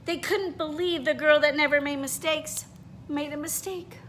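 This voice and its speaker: A woman reading a children's picture book aloud in an expressive, animated way, pausing to put questions to her listeners. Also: high-pitched, a little hiss or hum. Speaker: read-aloud storyteller.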